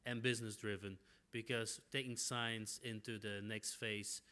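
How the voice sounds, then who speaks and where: A middle-aged man from Belgium giving a conference presentation in a relaxed way, with frequent fillers and restarts. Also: lowish voice, measured pace, clean tone. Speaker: conference speaker.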